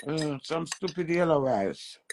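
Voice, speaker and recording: A woman's voice: low voice, animated, a little slow, darkish tone, a little hiss or hum. A woman with a Jamaican accent touched with British, chatting playfully and teasing on a live stream from home. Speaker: livestream host. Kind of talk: flirty casual livestream chat.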